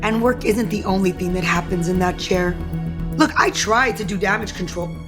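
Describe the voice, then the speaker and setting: A woman's voice: medium pitch, moderate pace, natural voice. An actress plays a brazen, scheming woman angling for power in an audio soap opera. Her mid-range voice is confrontational and taunting, full of self-assurance, over a bed of background music. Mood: smug, taunting. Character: scheming, brazen ambitious young woman, office power player.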